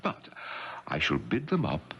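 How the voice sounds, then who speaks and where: An actor playing a wealthy, shrewd titled gentleman in a radio drama, smooth and persuasive with a pompous air. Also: extremely low pitch, wildly swooping pitch, measured pace, exaggerated voice. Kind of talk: 1970s radio drama acting. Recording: dull, noisy 1970s radio recording.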